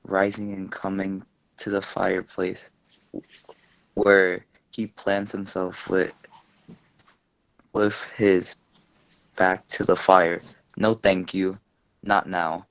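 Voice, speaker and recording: A low-key man of medium-low pitch reads a play part aloud slowly and haltingly. His intonation stays fairly even, and the sound is dry and close. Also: creaky, no room sound, rough recording. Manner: halting, stumbles over words. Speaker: participant reading a part in a play. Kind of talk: reading a play script aloud.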